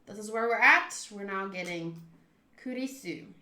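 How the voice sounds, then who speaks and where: A woman's voice: mid-range voice, normal speed, pushed and bright, roomy sound. A chatty, theatrical woman streaming a game, casually commenting, reading text aloud and swerving into asides. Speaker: streamer playing a visual novel.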